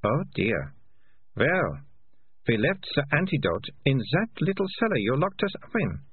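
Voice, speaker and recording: A man voicing a bright, bossy, know-it-all young girl in a brisk storybook style. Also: mid-range voice, huge pitch swings, breathy edge, no room sound, phone-quality sound, voice pushed up, full character voice.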